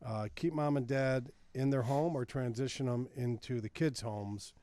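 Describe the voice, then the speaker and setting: A man's voice: medium pitch, moderate pace, dark tone, dry recording. A man hosting a casual radio interview, putting brief questions and prompts to a guest with some banter. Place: radio studio.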